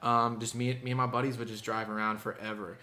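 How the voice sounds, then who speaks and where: A man's voice: on the low side, little pitch movement, fast talker, sharp tone. A young man from Indiana, a musician chatting casually in an interview, easygoing and full of fillers and restarts. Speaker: musician guest.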